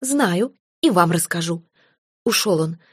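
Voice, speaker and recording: A woman reading an audiobook, voicing a fierce, defiant young red-haired woman who shouts in a husky voice. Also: on the low side, animated, a little slow, dry recording, clean studio sound. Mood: triumphant.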